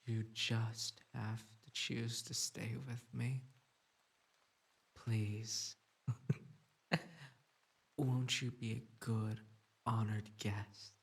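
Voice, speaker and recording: A possessive bunny spirit, played by a man, who coaxes in a slow, breathy murmur broken by unsettling giggles. The gravelly, husky voice swings between wounded pleading and sinister calm. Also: on the low side, exaggerated voice. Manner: soft coaxing delivery broken by giggles and sudden outbursts. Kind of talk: audio roleplay drama.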